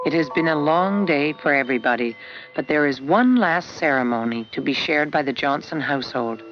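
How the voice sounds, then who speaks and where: Scripted documentary narration, measured storytelling, muffled 1970s film sound, clear musical backing. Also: medium pitch. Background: guitar music.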